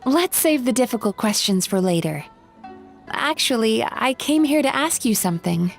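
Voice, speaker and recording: A woman voicing a kindly, polite, sociable young woman in a video game, with expressive delivery. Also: a little high, moderate pace, gravelly, faint music behind. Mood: friendly.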